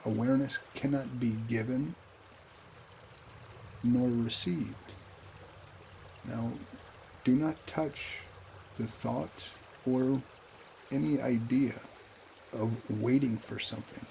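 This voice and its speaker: A man guides a meditation with a calm, even voice that barely rises or falls. He speaks very slowly in short, spaced phrases, with a gravelly creak. Soft water trickles faintly behind him. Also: medium pitch, a little hiss or hum. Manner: calm, unhurried, pausing between short phrases.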